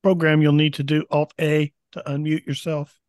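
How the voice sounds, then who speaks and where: A man's voice: fairly high pitch, little pitch movement, normal speed, a little mellow, no echo, clean studio sound. A man casually hosting an online meeting, giving practical instructions in a matter-of-fact way on a video call. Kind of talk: casual chat.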